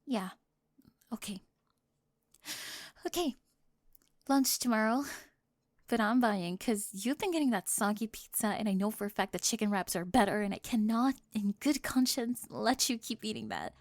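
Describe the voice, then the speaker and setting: Woman acting a flustered, high-voiced teenage cheerleader. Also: expressive, normal speed, long pauses, no room sound. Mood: flustered, bossy. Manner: breathy half-whispers, stammering, nervous giggles. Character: flustered teenage cheerleader bully confessing a secret crush. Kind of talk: ASMR roleplay acting.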